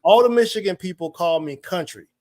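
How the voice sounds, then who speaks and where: High voiced dude from arkansas, slangy and animated, telling stories on a boxing podcast. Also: male voice, fairly quick, dry recording, studio quality. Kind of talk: casual boxing chat.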